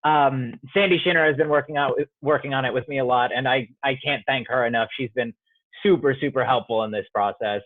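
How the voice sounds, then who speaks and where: Young adult man, reflective interviewee, halting and searching, mid-pitched, dry but muffled lo-fi recording. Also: no echo. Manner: halting, searching for words, frequent "like". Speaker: student playwright being interviewed.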